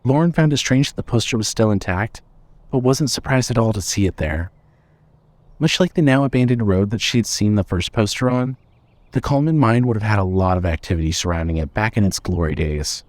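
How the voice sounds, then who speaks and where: A man's voice: on the low side, lively intonation, brisk, no room sound. A man reading scripted fiction as the narrator of an audio story.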